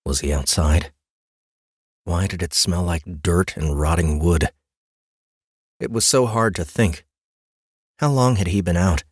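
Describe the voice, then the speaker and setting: A man narrating a scripted fantasy audiobook in an expressive, deliberate storytelling manner. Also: low-pitched, husky, dry recording, clean studio sound. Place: dry studio.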